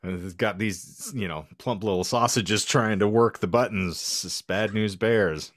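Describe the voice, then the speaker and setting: A man's voice: fairly low pitch, measured pace, no room sound, studio quality. A man hosting a podcast with wry, sarcastic asides, bantering casually and reading bits aloud. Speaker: podcast host.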